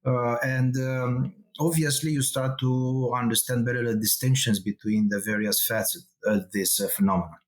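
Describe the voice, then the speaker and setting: A middle-aged man with a Romanian accent, a guest scholar answering interview questions in a measured, halting way with many pauses and fillers. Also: mid-range voice, fairly even intonation.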